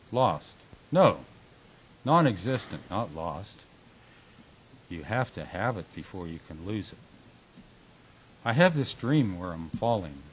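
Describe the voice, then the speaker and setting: A man acting a low-voiced, haunted, anguished husband. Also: slightly rough, low-quality recording. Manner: brooding, halting, swinging from hushed to shouting. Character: anguished husband haunted by nightmares and memory gaps. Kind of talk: radio drama acting, intense monologue. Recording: hissy amateur workshop recording.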